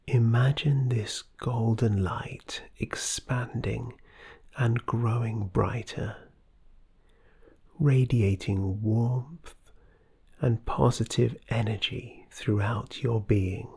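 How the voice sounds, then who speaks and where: Meditation guide: hushed, soothing, scripted delivery, unhurried pace, breathy and husky with vocal fry. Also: mid-range voice, steady tone. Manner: soft, soothing, breathy, often near whisper.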